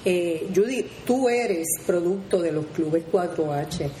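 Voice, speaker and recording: A woman's voice: on the low side, lively intonation, slow pace, warm tone, roomy sound, a little hiss or hum, rough recording. A woman hosting an interview, friendly and turning to speak straight to the listeners. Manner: friendly, addresses audience as "amigos". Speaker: host. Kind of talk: radio interview, addressing listeners.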